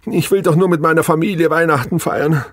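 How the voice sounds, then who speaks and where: Man voicing an anxious, pleading male doctor, raised pitch. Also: steady tone, brisk, a bit bright, no room sound, studio quality.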